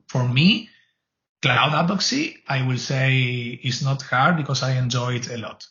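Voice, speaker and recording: A man's voice: mid-range voice, fairly bright tone, highs cut off. A middle-aged man from Argentina with an Argentine Spanish accent, speaking English as a second language. He answers interview questions earnestly, with many restarts. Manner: earnest, rambling, frequent restarts. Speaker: guest, cloud advocate.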